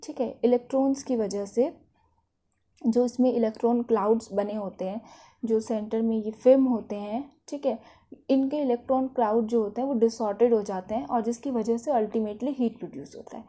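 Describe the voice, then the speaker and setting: Female Indian lecturer, fairly high and very soft-edged mellow voice, didactic, mixes English terms into her explanations. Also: normal speed. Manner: didactic, often checks understanding with short tag questions. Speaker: physiotherapy lecturer.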